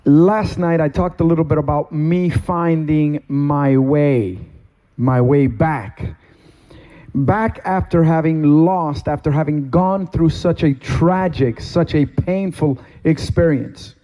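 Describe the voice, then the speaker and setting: A middle-aged man from Cuba, a pastor giving a sermon testimony from a stage, with slow, deliberate, emphatic phrasing. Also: a little high, mellow. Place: stage in a meeting hall.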